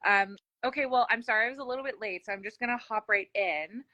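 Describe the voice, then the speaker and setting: A woman interviewer with a very bright, forward voice and a slight lisp. She chats casually and effusively, rambling and poking fun at herself. The sound is dry and close. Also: on the high side, fast, no echo, dull audio. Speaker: interview host.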